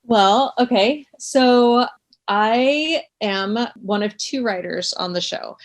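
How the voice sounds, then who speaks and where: A woman being interviewed, telling stories casually in a chatty, expressive and self-effacing way. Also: on the high side, takes it slowly, no echo. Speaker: interview guest, audio drama writer. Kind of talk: casual conversational storytelling.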